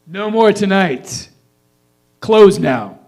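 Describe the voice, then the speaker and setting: A man acts out a young waiter with a fairly high voice, impatient and sure of himself. He wants his work over with, and it is delivered as staged reader's theatre. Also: very animated, drawn-out words, darkish tone, exaggerated voice. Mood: loud, brusque. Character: impatient young male waiter, confident, eager to go home.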